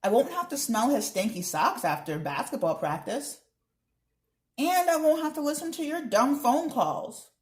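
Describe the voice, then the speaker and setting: A woman's voice: high-pitched, sing-song, normal speed, roomy sound, voice pushed up. Woman doing a young twin sister, sarcastic, teasing, exaggerated, storybook read-aloud. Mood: perkier, teasing.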